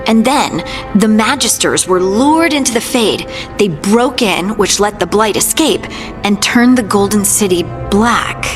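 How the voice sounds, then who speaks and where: A plain-spoken young fantasy adventurer, acted by a woman. She talks earnestly and hesitantly in a mid-pitched voice, sounding troubled. Music plays underneath. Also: expressive, unhurried, lightly acted. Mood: puzzled.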